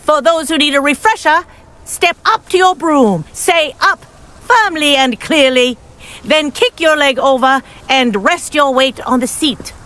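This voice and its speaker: High-voiced strict flying teacher. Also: female voice, unhurried, fairly bright tone. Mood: firm instruction. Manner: firm, precise, instructive. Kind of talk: video game character acting.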